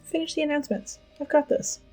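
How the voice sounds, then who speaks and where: A woman playing a composed, decisive teenage schoolgirl in an audio drama, speaking in a matter-of-fact, deadpan way. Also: high-pitched, fast talker, soft background music, lightly acted, rough recording. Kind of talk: audio drama, understated dialogue.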